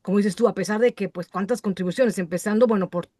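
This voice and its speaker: A woman's voice: mid-range voice, fast, mellow, no echo. Woman interview host, rambling questions, lots of asides, dry sound. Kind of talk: conversational interview.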